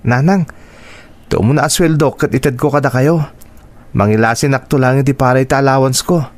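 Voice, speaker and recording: A man's voice: medium pitch, moderate pace, close to an everyday voice. A man playing a dutiful grown son in a radio drama, earnest, respectful and plaintive, with an Ilocano accent. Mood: earnest, hopeful. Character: earnest, dutiful grown son telling his hurtful life story.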